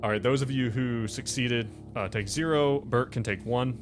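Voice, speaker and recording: A man's voice: medium pitch, moderate pace, faint music behind. A man hosting a tabletop game as game master, explaining and narrating in a casual conversational style. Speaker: game master and show host.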